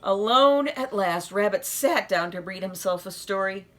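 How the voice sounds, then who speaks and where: Bright, animated woman reading a story. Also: mid-range voice, unhurried. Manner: animated, addresses young listeners with questions. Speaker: read-aloud storyteller. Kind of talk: expressive children's story narration.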